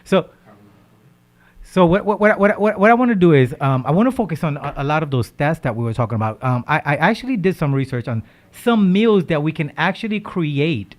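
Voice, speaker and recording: A man hosting a radio talk show, giving casual diet advice. He speaks with lively energy, repeats words, and keeps checking in with "right?". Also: fairly high pitch, fairly quick. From Haitian background. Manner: animated, repeats words, asks "right?" often.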